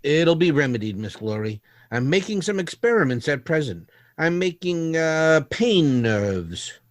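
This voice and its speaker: A man's voice: on the high side, very animated, a little slow, no echo. A man playing a detached, condescending scientist who speaks matter-of-factly in a 1930s-style radio drama. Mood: clinical. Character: clinical, coolly detached male robot scientist.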